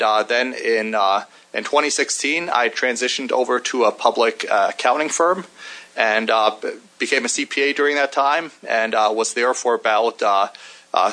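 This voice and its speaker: Man, 40s, bright voice, measured, methodical, committee staff, hearing room. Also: medium pitch. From Germany. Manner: methodical and measured, frequent "uh" pauses.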